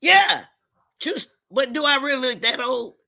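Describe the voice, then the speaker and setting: A woman telling stories in casual, slangy talk, with emphatic points and halting, repeated starts. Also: sits high, lively intonation, gravelly, sharp tone. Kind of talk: casual chat, storytelling.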